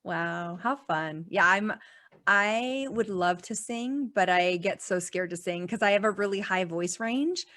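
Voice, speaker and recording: A woman's voice: medium pitch, unhurried, fairly bright tone. A woman as a podcast guest in casual conversation, chatty and full of "like" fillers, laughing often.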